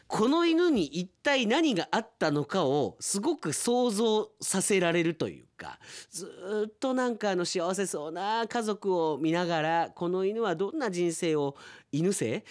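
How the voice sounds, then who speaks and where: Male radio host, very animated and swooping in pitch, chatty and wry, casual commentary on listener mail. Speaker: radio announcer hosting a radio drama segment.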